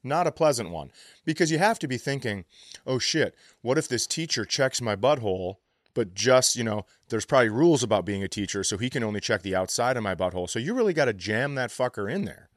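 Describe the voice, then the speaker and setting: A man's voice: medium pitch, animated, fairly quick, no room sound, clean studio sound. A man co-hosting a comedy podcast, riffing in casual, profane, crude chat.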